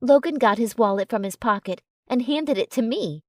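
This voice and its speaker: A woman's voice: fairly high pitch, lively intonation, normal speed, no echo, clean studio sound. A woman reading scripted first-person fiction as an audiobook narrator.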